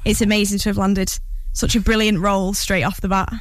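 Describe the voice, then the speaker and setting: A young woman from Southport, a stage actress chatting brightly about her debut role. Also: medium pitch, level tone, no echo. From Southport, England. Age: young adult. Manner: bubbly, quick, chatty. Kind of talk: casual interview chat. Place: theatre backstage.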